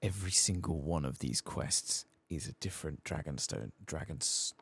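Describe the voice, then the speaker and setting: Very deep, creaky man, soft-spoken. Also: normal speed. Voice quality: gravelly, husky. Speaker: game streamer. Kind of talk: soft-spoken ASMR gaming commentary, reading quest text aloud.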